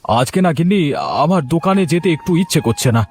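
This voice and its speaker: A lazy, grumbling husband from a village cartoon voiced by a man. His high voice moves quickly, whining and coaxing, with some music behind. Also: expressive, lightly acted. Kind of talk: cartoon dialogue acting.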